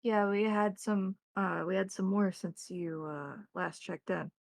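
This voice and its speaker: A woman voicing a gruff, blunt male police colleague on a phone call in a tabletop roleplaying game. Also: mid-range voice, no echo. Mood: uneasy, halting. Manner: swearing, blunt, halting cop talk.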